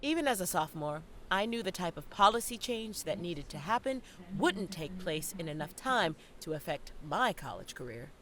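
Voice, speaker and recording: A young woman playing a stressed, self-questioning theater student in a dramatic monologue, with theatrical, deliberate delivery. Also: on the low side, very animated, fairly bright tone. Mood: reflective, uneasy. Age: young adult. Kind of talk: dramatic monologue in an audio play.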